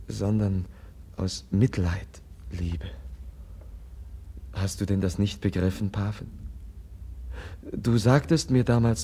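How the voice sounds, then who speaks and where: An actor playing a gentle, compassionate prince in a radio drama, speaking earnestly and haltingly as he pleads with his rival. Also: lowish voice, slight background noise. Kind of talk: classic German radio drama acting.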